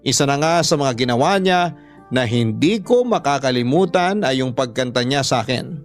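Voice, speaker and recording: A Filipino man reads a listener's letter as the host of a radio drama. His voice sits a little high, in scripted storytelling style, with background music underneath.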